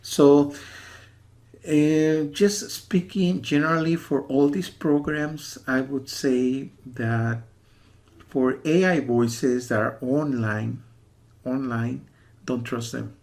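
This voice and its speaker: Guy from the usa doing a casual rambly review, gravelly fry in his voice, keeps repeating warnings insistently. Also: medium pitch, steady tone, slow pace, a little mellow. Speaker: software reviewer.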